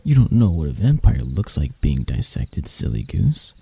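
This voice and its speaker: A man voicing a deranged, seductive spider vampire in an intimate ASMR roleplay, soft and breathy, teasing and theatrical, doting yet menacing. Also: rumbling low, huge pitch swings, full character voice. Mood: amused, whimsical. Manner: slow, teasing, theatrical, with dark chuckles.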